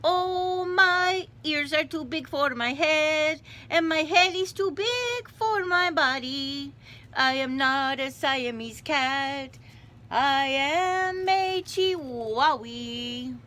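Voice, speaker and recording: A woman's voice: sits high, drawn-out words, bright, forceful tone, pitched above the performer's own voice, exaggerated voice. A woman voicing a boisterous young boy cat from a storybook, theatrical and singsong, who fancies himself a Chihuahua. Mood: dramatic, indignant.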